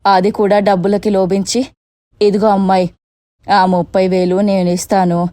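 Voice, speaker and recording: A woman's voice: mid-range voice, little pitch movement, moderate pace, warm tone, dry recording, clean studio sound, natural voice. Woman playing a blunt, grumbling older auntie. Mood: indignant. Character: blunt, caring, indignant older female relative. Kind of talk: Telugu moral-story cartoon dubbing.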